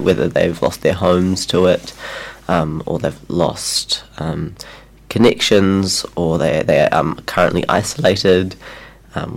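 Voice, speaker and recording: A man's voice: sits low, gravelly. A young man with a New Zealand accent hosting a community radio show in a casual, chatty way, still getting over a cold. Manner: chatty, many ums, laughs easily. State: recovering from a cold.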